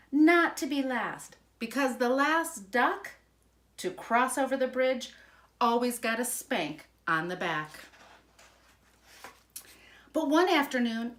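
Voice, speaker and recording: A woman reading a children's storybook aloud in an expressive, emphatic storytelling manner. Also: a little high. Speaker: school principal reading aloud.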